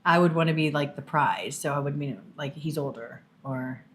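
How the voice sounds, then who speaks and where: A woman's voice: low voice, normal speed. A woman in her forties co-hosting a podcast with her husband, playful and teasing in casual chat. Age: 40s. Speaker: podcast co-host.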